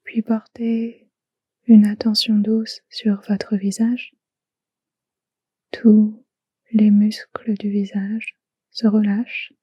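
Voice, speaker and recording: A woman guiding a relaxation meditation in a soft, hushed, soothing way, in short phrases with long pauses. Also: mid-range voice, level tone, slightly rough, no echo, muffled recording. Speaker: yoga nidra guide.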